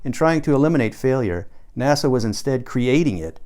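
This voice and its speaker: Guy reading his novel as an audiobook, smooth clear voice, mid pitch. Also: animated, brisk, darkish tone. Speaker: author reading own novel. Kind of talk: scripted audiobook narration.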